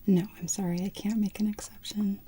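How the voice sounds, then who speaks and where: A woman's voice: medium pitch, little pitch movement, fairly quick, mellow, slightly rough. A woman whispering through an ASMR roleplay as a polite hospital receptionist taking phone calls.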